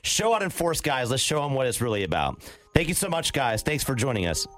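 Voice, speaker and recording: A man's voice: mid-range voice, big pitch swings, a little fast, loud music behind the voice. A man hosting a podcast, upbeat and chatty as he plugs his guests. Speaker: podcast host. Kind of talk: casual podcast chat.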